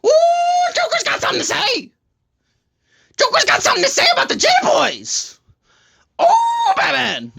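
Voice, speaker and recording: A man voicing a manic, theatrical clown supervillain in a comedy parody, with a shrill, gravelly cackle, taunting and talking about himself in the third person. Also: wildly swooping pitch, a little slow, pauses often, raised voice, noisy background, exaggerated voice. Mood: gleeful taunting. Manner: exclaims theatrically, talks of self in third person.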